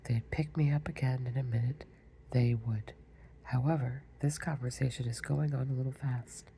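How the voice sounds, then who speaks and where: A woman's voice: very deep voice, fairly even intonation, moderate pace, gravelly, mellow, a little hiss or hum. A woman whispering a storybook reading, voicing a proud, argumentative egg-shaped man on a wall. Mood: haughty.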